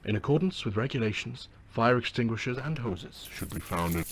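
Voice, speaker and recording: A man's voice: medium pitch, animated, a little fast, noisy background, natural voice. A man playing the upbeat narrator of an old training video, explaining safety procedures. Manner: upbeat instructional delivery.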